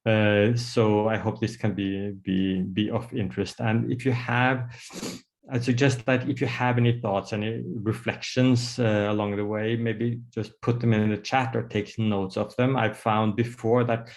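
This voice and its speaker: A man's voice: on the low side, flat delivery, measured pace. A man with a Norwegian accent, a psychiatrist presenting an online workshop over a video call, hesitant with many fillers and restarts. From Norway. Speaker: psychiatrist giving a workshop talk.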